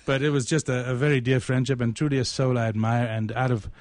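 A man's voice: mid-range voice, flat delivery, quick pace, a little mellow, no echo. A man co-hosting a radio theatre show as a poet, with a theatrical streak in how he speaks. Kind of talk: casual reminiscing and dramatic poetry recital.

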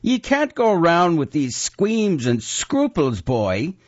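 A man voicing a gruff, ruthless old male wizard who is a talking severed head, bellowing in archaic rustic speech, on a dull lo-fi recording. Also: fairly high pitch, huge pitch swings, slow, dry recording, voice pushed up, full character voice. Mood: gruff commanding.

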